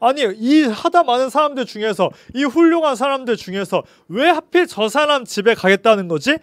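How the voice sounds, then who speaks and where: Baffled townspeople from a storybook tale, exclaiming in indignant disbelief, as a man puts them on. His voice runs high, and the sound is dry. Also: a little fast, no echo, natural voice.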